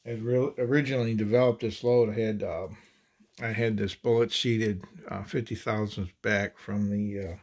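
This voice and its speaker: A man casually demonstrating ammunition handloading at a table, talking in halting phrases with many fillers and restarts. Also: fairly low pitch, steady tone, darkish tone. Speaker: handloading channel host.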